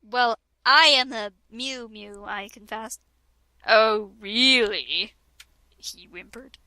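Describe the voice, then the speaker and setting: Young woman, comedic reader, mock-dramatic, unhurried, bright and high. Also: dry recording. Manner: giggly, breaks into laughter mid-sentence; mock-dramatic, interrupts herself with asides. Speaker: reader of a parody fanfic.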